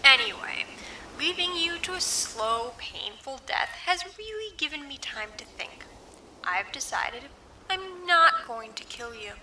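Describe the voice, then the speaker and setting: A gloating female villain with a high, very bright voice. She is theatrical and sweetly menacing, a scorned sweetheart out for revenge in a spy comedy audio drama. There is faint noise behind her. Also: very animated, measured pace, heavily acted, rough recording. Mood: gloating menace.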